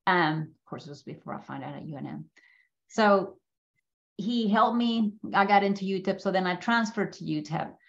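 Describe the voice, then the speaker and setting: A woman's voice: medium pitch, normal speed, gravelly, lo-fi sound. A middle-aged woman from Mexico gives an informal, conversational presentation about her own life, often checking in with listeners. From Mexico City, Mexico. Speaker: guest speaker at a business school. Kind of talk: informal slide presentation, personal story. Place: indoor room, on a video call. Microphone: video call microphone.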